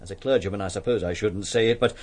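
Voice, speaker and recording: An actor playing an earnest, kindly English vicar in a radio drama, speaking politely and precisely with some hesitation. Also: male voice, lowish voice, quick pace, darkish tone, lightly acted. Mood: confiding, disapproving.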